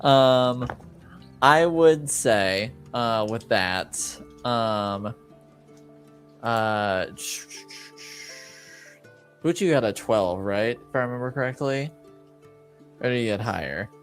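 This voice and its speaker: Slow-talking man running a tabletop game. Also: medium pitch, animated, faint music behind. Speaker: game master. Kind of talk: improvised tabletop game narration and casual chat.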